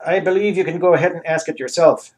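A man's voice: on the high side, fast talker, voice pushed up, close to an everyday voice. A man voicing a formal scientist who speaks carefully in a comic story. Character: measured male scientist doctor.

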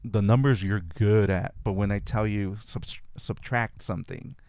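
Guy who grew up speaking spanish, kinda low and gravelly, dry sound, chatting thoughtfully as a guest. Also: steady tone, measured pace, a little mellow, no echo. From California. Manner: reflective storytelling with frequent restarts. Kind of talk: casual conversation.

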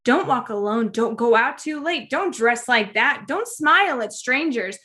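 A woman co-hosting a podcast, expressive and dramatic when reciting, quick to agree in chat. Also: a little high. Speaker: podcast co-host. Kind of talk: casual chat, reads a spoken-word list.

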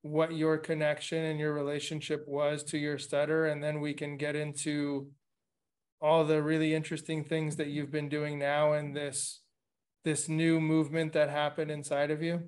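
A man's voice: fairly high pitch, monotone, normal speed. A middle-aged man from Latin America hosting an interview podcast from his living room over a video call, asking long questions with frequent restarts. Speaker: podcast host.

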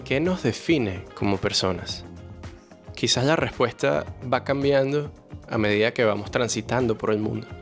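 A thirty-five-year-old man hosting an interview podcast, narrating in a measured way and chatting casually with his guest. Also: medium pitch, music in the background. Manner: calm, measured narration; easygoing in chat. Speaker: podcast host.

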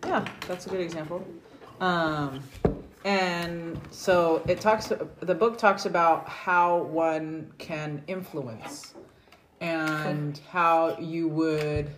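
A woman's voice: sits low, steady tone, extremely slow. A middle-aged woman hosting a casual family scripture discussion at home, asking questions and prompting her kids. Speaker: podcast host and parent. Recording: roomy home recording with background noise.

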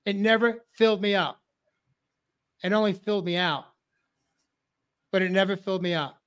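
A man's voice: sits high, moderate pace, a bit bright, smooth. A man in his fifties from the New York area, hosting a men's talk show and sharing a heartfelt personal story with emphatic, repeated phrases. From New York area, USA. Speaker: host.

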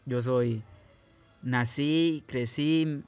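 A man's voice: mid-range voice, extremely slow, a little mellow, lo-fi sound, soft background music. A young Guatemalan man telling his life story in a casual, rambling way, with many restarts. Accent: Guatemalan Spanish. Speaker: podcast host.

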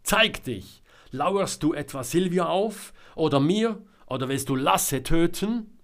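A man voicing a bold, suspicious woman reporter in a storybook, with forceful, challenging, exasperated demands. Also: on the high side, lively intonation, takes it slowly, pitched above the performer's own voice, full character voice. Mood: confrontational. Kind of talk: dramatic audiobook character voice.

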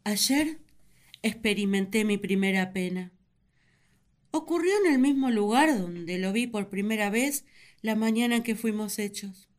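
A woman's voice: medium pitch, a little mellow, natural voice. An actress playing an earnest, lovesick first woman who confides her feelings in a sentimental radio drama monologue.

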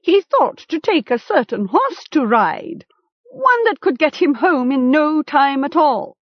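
A woman's voice: high voice, big pitch swings, measured pace, no room sound, muffled recording, voice pushed up. A woman voicing a mocking male chieftain in a storybook, theatrical and accusatory. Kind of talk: storybook character acting.

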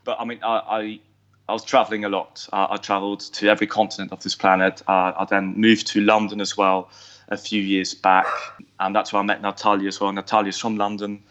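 A man from Switzerland, a company co-founder, chatting as a guest in a phone-in radio interview, self-deprecating and quick to laugh. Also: lowish voice, little pitch movement, a bit bright. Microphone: phone line. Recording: dull lo-fi phone line.

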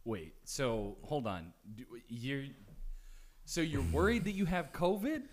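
Lively-voiced man with quick interjections. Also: medium pitch. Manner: quick reactions, stammering interjections. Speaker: podcast co-host. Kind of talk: casual chat.

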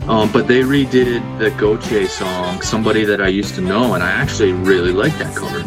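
A man's voice: lowish voice, fairly quick. A man who plays in a rock band, chatting casually about music as a podcast guest.

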